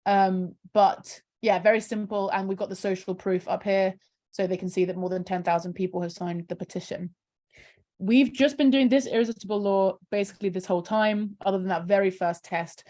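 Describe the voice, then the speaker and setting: A woman's voice: mid-range voice, fairly even intonation, brisk, mellow. A woman, a charity campaigner talking casually on a video call, filling her speech with "um" and "kind of". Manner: chatty, many fillers like "um" and "kind of". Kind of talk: casual video call interview, screen-share walkthrough.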